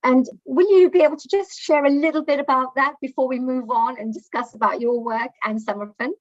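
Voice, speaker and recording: A woman host speaks in a high, bright register, effusive and chatty. She uses plenty of fillers. The sound is dry and dull, like a lo-fi online call. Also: fairly even intonation, fairly quick, no room sound. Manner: warm, effusive, with many fillers. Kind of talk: livestream interview hosting.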